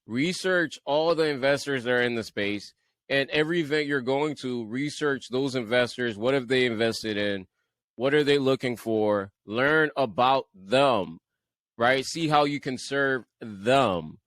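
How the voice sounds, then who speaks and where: A man's voice: medium pitch, unhurried, a bit bright, no room sound. A man with a Liberian accent hosting a podcast interview in a casual, laid-back way, often repeating words and laughing at himself. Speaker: podcast host.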